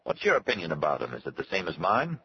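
A man's voice: sits low, wildly swooping pitch, quick pace, vocal fry, highs cut off, slight background noise, exaggerated voice. A man playing a brash, blunt, colloquial boss and hearty host in an audiobook. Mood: breezy.

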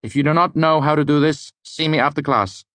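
Man playing an abrupt, high-pitched eccentric lecturer. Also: fairly quick, a bit bright, dry recording, close to an everyday voice. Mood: dry, unimpressed. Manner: abrupt, dismissive, theatrical. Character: eccentric, imperious male university lecturer, easily bored. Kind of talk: audiobook character dialogue.

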